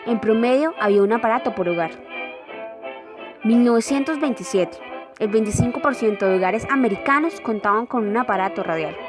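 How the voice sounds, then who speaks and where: A woman's voice: a little high, lively intonation, a little fast. A woman reading a scripted documentary narration in a formal manner. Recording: loud music behind the voice. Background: music.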